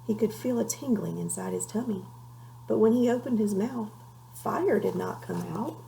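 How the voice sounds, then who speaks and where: A woman reading a children's storybook aloud in an expressive, measured way, pausing for dramatic effect. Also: medium pitch, gravelly, slight background noise, poor audio. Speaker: storybook reader.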